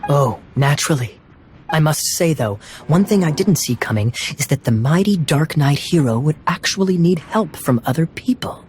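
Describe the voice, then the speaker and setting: A man's voice: fairly high pitch, lively intonation, normal speed, darkish tone, a little hiss or hum, close to an everyday voice. A man playing a suave, teasing young knight in a video game, with a smug and playful theatrical delivery.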